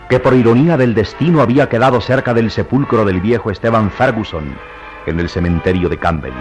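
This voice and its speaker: An actor playing a grave, literary storyteller, narrating a gloomy mystery serial in measured, dramatic tones. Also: male voice, on the low side, lightly acted. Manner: solemn, measured. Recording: music under the narration.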